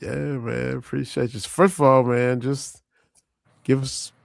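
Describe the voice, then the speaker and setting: A man with a hoarse, gravelly voice hosts a casual sports podcast. He rambles, repeats himself and talks loosely, recorded dry and close. His pitch sits in the middle range. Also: little pitch movement, unhurried, dry recording. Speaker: podcast host.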